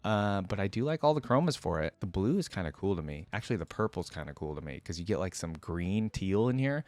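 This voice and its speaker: Male reaction host, lowish register, informal and conversational, thinking out loud, close dry sound. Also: very animated, fairly quick, no echo, soft background music. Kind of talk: casual reaction commentary.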